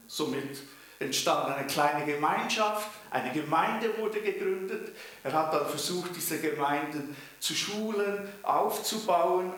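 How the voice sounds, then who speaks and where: A man's voice: on the high side, fairly bright tone. A man from Bern with a Swiss German accent preaching a sermon, telling it emphatically with rhetorical questions. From canton of Bern, Switzerland. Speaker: preacher and mission leader. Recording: very echoey room, distant from microphone.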